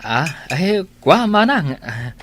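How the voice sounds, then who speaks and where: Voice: medium pitch, very animated, slow pace, a bit bright, slight background noise. A performer playing an easygoing, food-loving, evasive younger brother in an Ilocano radio drama, with hesitant, mumbled talk. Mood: sheepish, complaining. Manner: hesitant, mumbling while eating.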